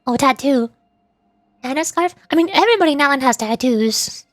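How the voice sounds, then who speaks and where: A woman's voice: high voice, lively intonation, fairly quick, dry recording. A young woman doing casual livestream game commentary as a VTuber, playful, teasing and rambling.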